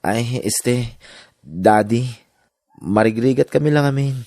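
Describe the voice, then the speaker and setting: An Ilocano-accented woman voicing a meek, poor young man in a radio-style drama, speaking haltingly and timidly. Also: a bass voice, warm tone, no echo, clean studio sound, exaggerated voice. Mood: meek, sorrowful.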